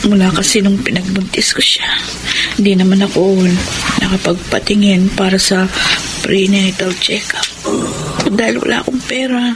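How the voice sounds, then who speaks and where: A woman's voice: medium pitch, fairly even intonation, moderate pace, noisy background, close to an everyday voice. An actress in a Filipino radio drama playing a weary, meek young mother who speaks with plaintive emotion. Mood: weary, embarrassed. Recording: music under the voice.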